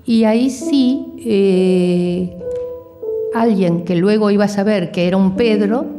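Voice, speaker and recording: Music plays clearly behind a woman, recorded with long hall reverb. She gives halting testimony in a mid-pitched voice. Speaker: survivor giving testimony. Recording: echoey hall, music over voice.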